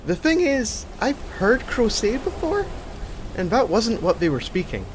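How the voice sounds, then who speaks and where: A small, sheepish young stowaway from a fantasy audio drama, acted by a man. His voice is high and swings up and down as he hesitantly draws out his words. There is clear noise in the recording. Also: big pitch swings, measured pace, low-quality recording. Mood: calm, careful. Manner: hesitant, drawling out his account. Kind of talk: audio drama character acting.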